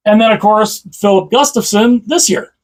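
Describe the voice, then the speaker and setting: High-voiced chatty hockey fan. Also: male voice, moderate pace. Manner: rambling, repeats himself, enthusiastic. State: slightly hoarse. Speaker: hockey channel host. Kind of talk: casual solo commentary to camera.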